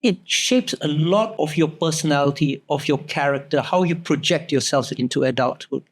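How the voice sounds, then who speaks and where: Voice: medium pitch, moderate pace. Middle-aged host in casual interview. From Singapore. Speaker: host of a food talk show.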